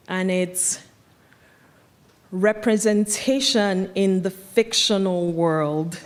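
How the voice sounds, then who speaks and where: A woman in her thirties from Nigeria, an artist explaining her work in an onstage conversation, thoughtful with frequent "like" and "um". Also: fairly low pitch. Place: large auditorium stage. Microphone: stand mic.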